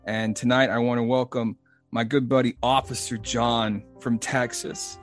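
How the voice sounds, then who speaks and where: A man's voice: medium pitch, level tone, unhurried, faint music behind. Chatty man hosting a casual interview. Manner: chatty, rambling, laughs easily. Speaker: podcast host.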